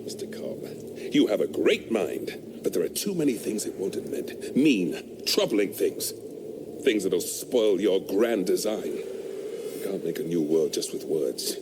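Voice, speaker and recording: An eerie, hushed servant possessed by a presence. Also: male voice, low-pitched, big pitch swings, background noise. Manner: slow, hushed, menacing. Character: eerie male servant speaking as an uncanny presence. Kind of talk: radio drama acting.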